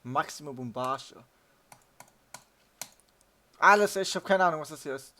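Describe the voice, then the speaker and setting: Gamer guy blurting stuff from off mic, slow talk, a bit high, keyboard clicking. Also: sharp tone. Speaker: gamer, let's player. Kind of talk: casual gaming commentary.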